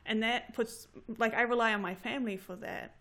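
A woman's voice: fairly high pitch, fairly quick. A woman in her thirties with a New Zealand accent, chatting casually and telling stories animatedly, often laughing. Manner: animated storytelling with frequent laughter. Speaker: graduate student. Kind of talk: casual conversation.

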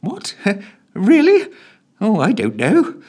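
A man's voice: sits high, huge pitch swings, takes it slowly, a little mellow, pitched above the performer's own voice, exaggerated voice. A man putting on a blustering pompous minister. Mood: flustered. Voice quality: blustering, flustered. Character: pompous blustering male defence secretary.

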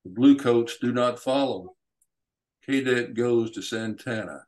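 A man voicing a solemn Apache warrior and father, speaking formally and earnestly in short, deliberate phrases as in an audiobook story. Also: medium pitch, a little mellow, pitched above the performer's own voice, natural voice. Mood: proud, appealing.

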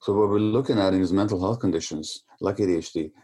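Deep, mellow-voiced man explaining. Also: quick pace, husky. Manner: lecturing, repeats words when restarting. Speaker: interview guest. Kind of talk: explanatory interview talk.

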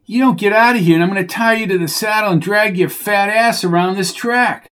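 A man voicing a hot-tempered male racehorse owner who talks gruffly and bosses people around. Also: sits high, animated, normal speed, pitched above the performer's own voice. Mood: threatening. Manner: gruff, bossy, threatening.

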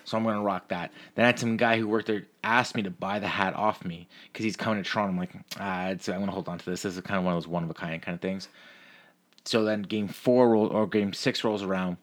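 A man with a fairly low voice talks casually as a sports podcast co-host. He tells stories in loose, sweary language and acts out both sides of conversations. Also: quick pace. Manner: profane, animated storyteller who acts out dialogue. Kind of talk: casual chat, storytelling.

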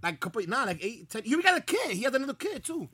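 A man's voice: very high voice, big pitch swings, quick pace, sharp tone, slightly rough, dry recording. A man with a New York accent bantering on a podcast, emphatic, argumentative and full of slang and swearing. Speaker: podcast co-host. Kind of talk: casual, profane podcast banter.

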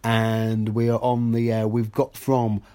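Male British podcast host, mid-pitched, casual and wordy, dryly joking, slightly halting delivery. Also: monotone, warm tone. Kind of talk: casual chatty podcast intro.

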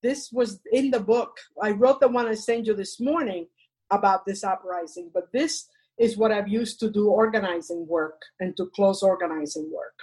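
Older woman from Cuba, Spanish native; husky poet guest, earnest and measured. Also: medium pitch, darkish tone, rough recording. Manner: earnest, measured recitation with repeated refrains. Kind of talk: interview and poetry reading.

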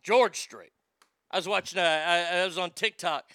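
Fifties guy doing radio banter, high bright voice, crude and jokey, faint music under him. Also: normal speed, dry recording, studio quality. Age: 50s. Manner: crude, joking. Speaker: radio show host. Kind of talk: morning radio show banter.

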